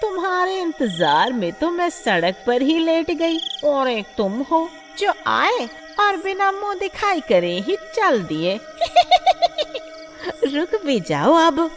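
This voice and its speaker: Woman voicing a taunting, cackling witch, swooping pitch. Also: very animated, takes it slowly, faint music behind, exaggerated voice. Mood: teasing, coy. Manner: taunting, mock-coquettish, cackling. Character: menacing, teasing female witch who stalks her victim. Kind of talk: animated horror story voice acting.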